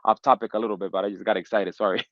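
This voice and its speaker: A man's voice: lowish voice, fairly even intonation, rapid-fire, no echo. A man from Honduras talking casually on an online call, rambling with many restarts and fillers. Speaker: community member on a crypto call. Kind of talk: casual chat. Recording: dull lo-fi call audio.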